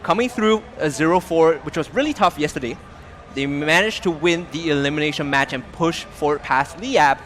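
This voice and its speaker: A male esports caster with a high-pitched voice, calling the action with rising excitement and punchy, loud hype moments. A faint hiss sits under the voice. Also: moderate pace. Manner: excitable, builds to loud calls. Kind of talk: play-by-play commentary.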